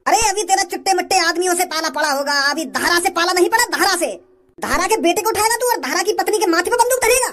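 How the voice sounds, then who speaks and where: Woman as a high-voiced, fiery cartoon father. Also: expressive, fast talker. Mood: defiant, angry. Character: wealthy protective father, hot-headed and defiant. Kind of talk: comic cartoon dialogue.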